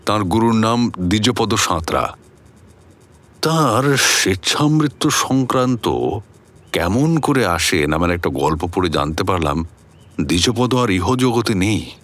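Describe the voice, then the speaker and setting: A man in a radio drama playing a genial older learned mentor, amused and assured, explaining things in an avuncular, expressive way. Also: on the low side, a little slow. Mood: surprised. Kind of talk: Bengali radio drama acting.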